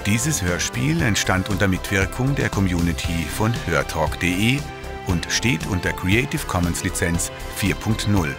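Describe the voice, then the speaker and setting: A man narrating a retro sci-fi audio drama in a dramatic, deliberate announcing style. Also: low voice, animated. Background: music.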